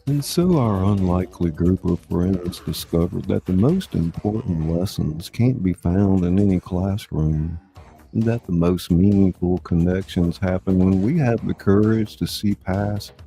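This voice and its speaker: A man's voice: deep voice, a little slow, creaky, mellow, faint music behind. A man hosting a live reading, talking in a folksy way with plenty of y'all, moving between narration and chat. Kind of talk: warm-up and sign-off chat, scripted narration.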